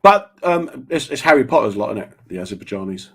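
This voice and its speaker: A man's voice: mid-range voice, very animated, a little fast, fairly bright tone, husky. A man hosting a radio chat show, teasing his guest with jokey asides. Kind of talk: joking casual chat.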